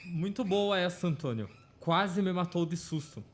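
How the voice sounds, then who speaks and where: A man's voice: high voice, lively intonation, normal speed. A man playing a frightened, nervously exclaiming young adventurer in an amateur radio drama. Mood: nervous bravado.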